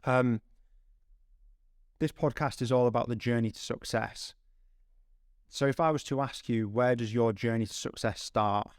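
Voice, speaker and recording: A man's voice: mid-range voice, fairly even intonation, unhurried, long pauses, smooth, no echo, studio quality. A man hosting an interview podcast, introducing and questioning in a conversational way. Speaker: podcast host.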